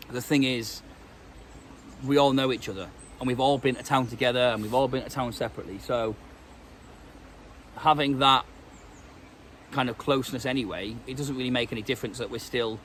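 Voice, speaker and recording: A man's voice: medium pitch, a bit bright, slight background noise. Stuffy-voiced British man rambling outdoors. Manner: rambling, many ums and restarts. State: stuffy with a cold. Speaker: vlogger. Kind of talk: casual vlog chat. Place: outdoors in woodland.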